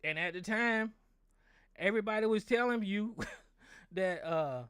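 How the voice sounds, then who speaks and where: Hoarse, angry American man ranting. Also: high voice, unhurried, fairly bright tone, dry recording. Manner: angry, profane, repetitive. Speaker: show host. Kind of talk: political rant on a call-in show.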